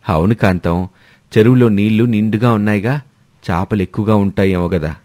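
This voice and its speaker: A man voicing an easygoing, food-loving old father-in-law with a relaxed, hearty manner. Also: fairly low pitch, a little fast, close to an everyday voice.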